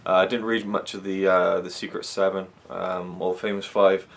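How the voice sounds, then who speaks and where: A man's voice: low voice, level tone, normal speed, creaky. A young man from Wales with no regional accent, chatting casually to camera as a YouTube reviewer in a rambling way full of fillers.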